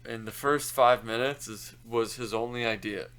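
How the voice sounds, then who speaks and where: A man's voice: medium pitch, moderate pace, fairly bright tone, smooth. A man co-hosting a casual podcast, giving blunt takes and swearing freely. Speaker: podcast co-host.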